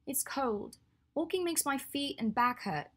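A woman reading the part of an earnest, clever young witch, her voice a little raised and husky. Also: mid-range voice, a little fast. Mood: sulky. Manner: earnest, then sulky and teasing.

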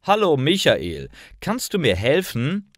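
A man voicing an earnest young man in a learner story, speaking eagerly and enunciating clearly. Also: on the high side, wildly swooping pitch, takes it slowly, no room sound, clean studio sound, pitched above the performer's own voice, heavily acted. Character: earnest young man asking his friend for advice. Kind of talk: acted dialogue in a learner story.

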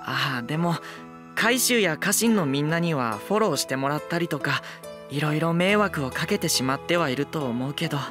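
Gentle, eager young nobleman for anime, male actor: boyish, polite, very expressive intonation over music. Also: fairly high pitch, normal speed, lightly acted. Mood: gentle, earnest. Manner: soft-spoken, polite, earnest. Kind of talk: anime voice drama acting.

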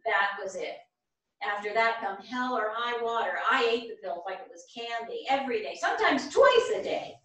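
Actress as blunt elderly mother in stage drama, wry and candid, higher voice, distant in a reverberant theatre. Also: very animated, moderate pace, fairly bright tone. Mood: wry recollection. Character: blunt, regretful elderly mother of six named Pat. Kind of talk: staged play reading. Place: small theatre stage, seated at music stand. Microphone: far camera. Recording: very echoey theatre stage from far off.

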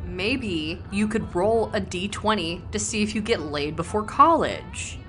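A woman's voice: mid-range voice, animated, unhurried, natural voice. A woman playing a snarky but protective teenage girl in an audio drama. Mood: mocking. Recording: music under the voice.

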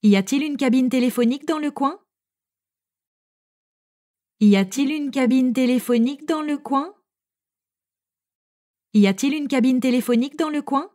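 A woman narrator reading French phrases for learners, clearly and evenly, each one repeated. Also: high voice, normal speed, pauses often, warm tone. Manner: reads each phrase three times, evenly.

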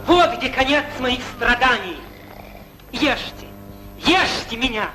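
An actor playing a ragged, lovesick young hare in an old animated film, pleading and declaiming theatrically. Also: male voice, very high-pitched, slow, noisy background, heavily acted. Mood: desperate pleading. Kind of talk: 1940s Soviet animated film acting. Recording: old film soundtrack, loud music.

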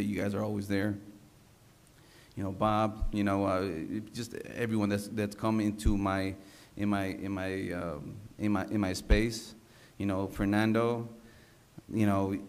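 Halting man with a low, flat voice. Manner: halting, unpolished, repeats himself. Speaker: city council member. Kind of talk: informal acceptance speech at a public meeting. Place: large council chamber, indoors. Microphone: gooseneck desk microphone.

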